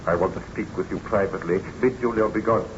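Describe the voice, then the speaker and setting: An actor in an old-time radio drama playing a cautious, sceptical friend, speaking in formal and earnest phrases. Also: male voice, low voice, fast, natural voice. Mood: earnest, pleading. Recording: dull, hissy vintage radio recording.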